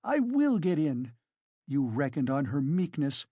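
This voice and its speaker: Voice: mid-range voice, very animated, unhurried, darkish tone, no room sound, lo-fi sound, voice pushed up. A narrator voicing a frantic, furious, proud woman in a dramatised audiobook, shrieking and exclaiming. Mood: frantic fury. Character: hysterical, furious, proud wasted woman defending a girl.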